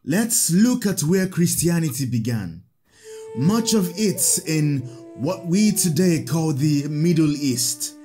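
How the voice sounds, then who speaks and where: A kindly, learned priest in an audio drama. His voice sits a little high for a man and moves through lively rises and falls. He explains things to children slowly and patiently, over faint music. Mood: patient teaching.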